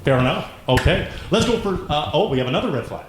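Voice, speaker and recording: A man hosts a dating show in a cheerful, amused way, and his pitch moves lively up and down. The sound is an echoey studio with steady background noise. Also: fast.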